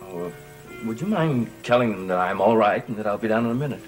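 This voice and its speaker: A man's voice: fairly low pitch, lively intonation, fast, close to an everyday voice, low-quality recording. An actor playing an anxious, insistent young man in a gothic soap opera, earnest and hesitant when pressed. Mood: evasive, uneasy. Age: young adult. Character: anxious, insistent young man confronting his cursed cousin. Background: dramatic music.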